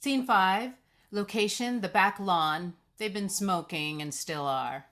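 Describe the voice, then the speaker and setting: A woman's voice: mid-range voice, slow pace, a bit bright. A woman reading screenplay stage directions as scripted narration.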